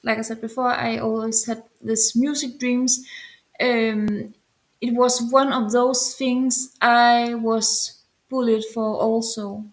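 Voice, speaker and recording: A woman's voice: a little high, flat delivery, slow, poor audio. A young woman who is not a native English speaker answers interview questions in a light voice, hesitating and searching for words. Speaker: guest musician.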